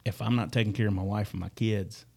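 A man's voice: fairly low pitch, fairly even intonation, vocal fry, darkish tone, husky. A man talking as a guest musician in a casual podcast chat, earnest and often stumbling over his words. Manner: halting, repeats words, earnest.

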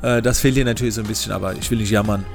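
A man's voice: fairly low pitch, fairly even intonation, very fast, vocal fry, music in the background. A man with a regional German dialect hosting a solo podcast, talking casually with many hesitations and repeated words. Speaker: podcast host.

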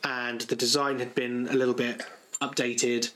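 A man's voice: mid-range voice, level tone, normal speed, a bit bright, low-quality recording. A man co-hosting a casual podcast, giving firm opinions and explaining things at length. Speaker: podcast co-host. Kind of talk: casual chat about cartoons and comics.

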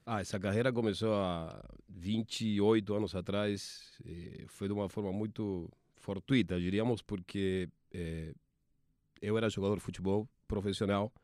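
A man in his forties from Argentina, speaking with an Argentine Spanish accent, a TV sports producer telling his career story in halting speech with many restarts. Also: on the low side, steady tone, darkish tone, no echo, studio quality. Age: 40s.

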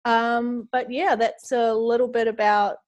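A woman's voice: fairly high pitch, flat delivery, a little slow, dull audio. A woman in her forties from New Zealand with a New Zealand accent, a podcast guest chatting casually and often quoting her own thoughts. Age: 40s. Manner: chatty, lots of fillers and quoted self-talk. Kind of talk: casual interview chat.

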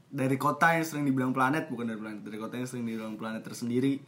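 A man's voice: mid-range voice, fairly even intonation, very fast, fairly bright tone, a little hiss or hum. A young man from Bekasi chatting casually on a podcast as a student guest, slangy and advice-giving. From Bekasi, West Java. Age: young adult. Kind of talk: casual chat.

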